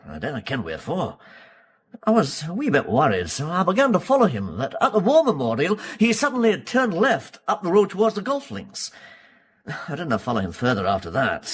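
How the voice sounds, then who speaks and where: A man playing a humble rural farmer with a Scottish accent in a radio drama, halting, apologetic and respectful. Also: mid-range voice, fairly bright tone, breathy edge, full character voice.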